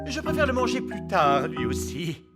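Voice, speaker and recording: A woman's voice: medium pitch, big pitch swings, normal speed, lightly acted. A woman playing a tactful, exasperated fairy king with a dry, hesitant manner in a French cartoon dub. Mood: tactful, uneasy. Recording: loud music under the voice.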